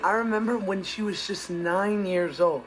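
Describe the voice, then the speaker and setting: A man's voice: high voice, normal speed, over loud music, close to an everyday voice, rough recording. A young American man playing an earnest, put-upon teenage boy in a sitcom monologue, complaining emphatically and indignantly. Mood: wryly aggrieved. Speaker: sitcom actor.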